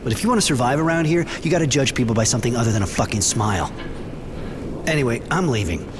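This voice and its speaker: Background noise surrounds a man playing a hard-bitten prisoner in a game cutscene. He speaks at an average male pitch, blunt, wry and cynical. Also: expressive, quick pace, natural voice. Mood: blunt, warning. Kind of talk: video game cutscene acting. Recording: clearly noisy background ambience.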